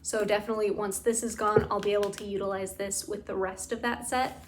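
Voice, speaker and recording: Chatty woman with a tired, raspy throat. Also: mid-range voice, steady tone, fairly quick, slight background noise. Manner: enthusiastic, gushing, lots of "like". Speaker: beauty and scent vlogger. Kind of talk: casual chatty product walkthrough. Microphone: camera mic.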